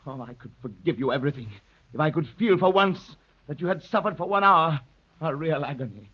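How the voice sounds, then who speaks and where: An actor playing a bitter, defiant anarchist poet with a husky voice, declaiming scornfully in 1930s radio drama style. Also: male voice, on the high side, lively intonation, brisk. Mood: accusing, anguished. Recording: muffled, lo-fi 1930s radio recording.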